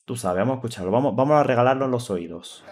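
A man's voice: medium pitch, lively intonation, very fast, slight background noise. A man in his twenties with a Spanish accent from Spain, giving casual, slangy, exclamatory reaction commentary. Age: 20s. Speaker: reaction video host. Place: small indoor room, seated at a desk. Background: hip hop music playing.

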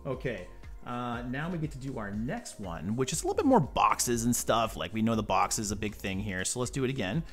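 A man's voice: medium pitch, big pitch swings, a little fast, a bit bright, faint music behind. Stuffed-up man chatting through a build. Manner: chatty, rambling asides while working. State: stuffed up from a cold. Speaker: model-building channel host. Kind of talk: casual build tutorial commentary. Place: indoor tabletop workbench.

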